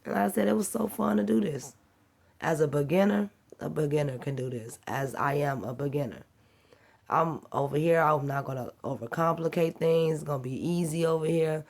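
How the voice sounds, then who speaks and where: A woman with a slightly raspy voice from a cold, chatting casually and encouragingly as she walks through an easy home cooking task. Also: sits low, normal speed, a little mellow. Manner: chatty, rambling and encouraging, talks while working. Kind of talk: casual how-to cooking vlog.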